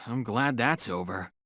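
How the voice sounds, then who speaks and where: An actor playing a polite young army lieutenant in a video game, talking in a gentle, sincere, hesitant way. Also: male voice, medium pitch. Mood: weary. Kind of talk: video game cutscene acting. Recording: loud music and crowd behind.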